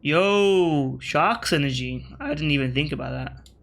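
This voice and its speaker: A man's voice: fairly high pitch, unhurried, vocal fry, a bit bright, a little hiss or hum. A man from Australia with an accent shifted by British media, talking through a strategy game in casual commentary. He thinks aloud, corrects himself and tosses wry asides at his opponents. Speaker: gaming video creator.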